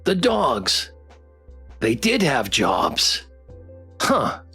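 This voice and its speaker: Game streamer, expressive, animated intonation, playful live chat, faint music underneath. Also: medium pitch, extremely slow, breathy edge. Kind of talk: live visual novel playthrough, reading aloud and chatting.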